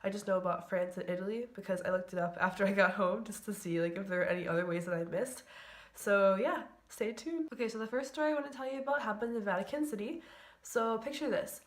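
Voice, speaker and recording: A woman's voice: mid-range voice, fast. A young woman telling a chatty, giggly storytime vlog full of "like" fillers. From United States. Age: young adult. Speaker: vlogger.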